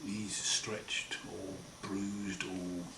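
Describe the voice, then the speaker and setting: A man with a croaky, hoarse voice after throat surgery, talking slowly and wryly in a casual home video diary. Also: low-pitched, little pitch movement. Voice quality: hoarse, partly breathy. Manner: slow, wry, plain-spoken, often pausing. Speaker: patient sharing his recovery. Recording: roomy echo, far mic, noisy.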